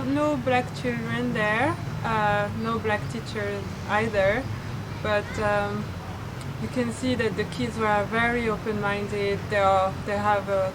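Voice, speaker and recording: A woman's voice: mid-range voice, background noise. A young French woman with a French accent, telling her experiences as a guest, hesitant, with many fillers and restarts. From France.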